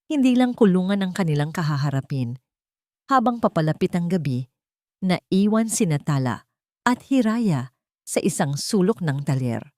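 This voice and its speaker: A woman reading a scripted romance story as narrator, with deliberate, dramatic phrasing. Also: lowish voice, a little mellow, dry recording, studio quality.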